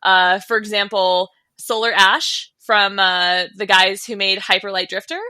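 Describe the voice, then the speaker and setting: A woman's voice: medium pitch, flat delivery, unhurried, pushed and bright, dry recording. A woman co-hosting a casual gaming show, giving commentary in an articulate, enthusiastic way. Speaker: podcast co-host.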